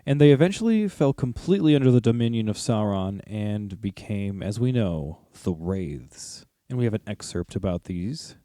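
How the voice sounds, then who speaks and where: A man's voice: on the low side, expressive, moderate pace, hardly any pauses, warm tone, no room sound. Casual geeky man, dry close recording. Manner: slangy, swearing, geeky. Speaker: podcast co-host. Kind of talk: casual discussion of fantasy lore.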